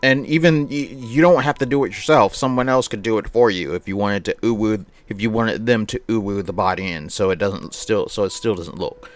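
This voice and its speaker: A man hosting a live game stream, chatting with viewers and reading visual novel narration aloud in an animated, chatty way. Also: lowish voice, normal speed, hardly any pauses.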